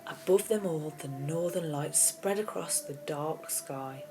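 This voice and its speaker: A woman with a fairly low voice reads a children's story aloud. It is storybook narration, with clearly audible keyboard music playing behind her. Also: unhurried. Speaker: audiobook reader.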